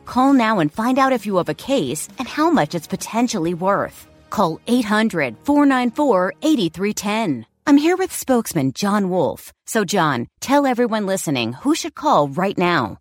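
Female ad presenter, animated intonation, scripted commercial read, light music bed. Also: medium pitch, measured pace, hardly any pauses, no echo, clean studio sound. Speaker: advertisement presenter.

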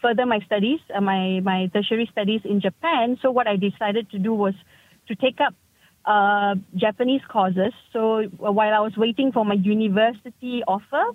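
A woman in her thirties calling in to a radio show, speaking in a halting, thoughtful way as she searches for her words. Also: mid-range voice, fairly even intonation, dry recording. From Malaysia. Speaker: caller. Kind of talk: call-in radio chat. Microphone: phone. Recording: tinny phone line.

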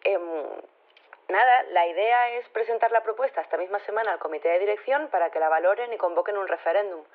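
A woman's voice: medium pitch, fast talker. Flustered teacher-activist lady calling into radio, thin phone sound, chatty, rambles and hesitates, earnest. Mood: flustered, grateful. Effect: telephone filter. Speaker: phone-in interviewee. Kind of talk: radio interview in audio fiction. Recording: thin, narrow phone line.

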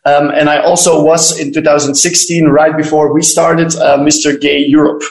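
A young man with a Belgian accent answers interview questions. His voice sits a little high for a man, and the room around it echoes clearly. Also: little pitch movement, moderate pace. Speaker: podcast guest, dancer and teacher.

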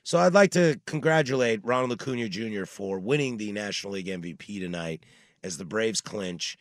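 Lively, animated male sports talk host. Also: a little fast, no room sound, studio quality. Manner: animated storyteller, swings between loud bursts and low asides.

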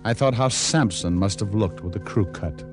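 Low-voiced wisecracking detective played by a man, old radio noir. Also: normal speed, lightly acted. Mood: deadpan narrating. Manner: wry, deadpan, slangy. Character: wisecracking hard-boiled male private detective. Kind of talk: 1940s-50s radio noir acting and narration. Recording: vintage radio recording with music behind.

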